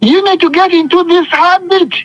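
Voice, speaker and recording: A man's voice: very high-pitched, normal speed, no echo, highs cut off. A man preaching an impassioned sermon, speaking emphatically with repetition and often calling for responses from listeners. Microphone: phone conference call.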